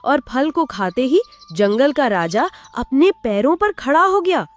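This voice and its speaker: A woman's voice: sits high, big pitch swings, a little slow, over music. A woman narrating a Hindi cartoon story in a simple storytelling way.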